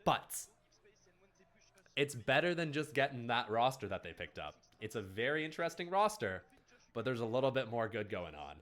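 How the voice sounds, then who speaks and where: A male streamer talking through a live esports match, his pitch rising and falling animatedly. He slips between excited play-by-play and easygoing answers to his viewers, never stiff or scripted. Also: moderate pace. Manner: lively play-by-play mixed with chat replies. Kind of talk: casual co-stream esports commentary.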